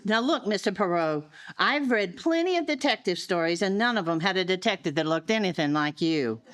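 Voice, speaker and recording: A woman's voice: mid-range voice, expressive, a little fast, fairly bright tone, close to an everyday voice. A woman playing a blunt, indignant and easily flustered American murder suspect in a live audio drama. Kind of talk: live radio-style audio drama acting. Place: live stage performance in a public library.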